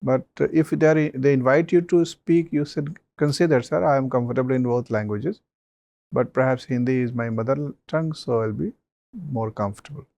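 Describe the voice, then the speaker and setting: A man, a mock interview panelist giving measured advice with many pauses and fillers. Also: medium pitch, gravelly, a little mellow.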